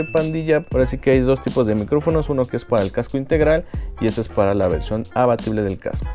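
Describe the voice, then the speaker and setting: Chatty Mexican man unboxing gear. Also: medium pitch. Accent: Mexican Spanish. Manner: chatty, slangy, leans on filler phrases. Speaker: moto vlogger. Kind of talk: casual product unboxing.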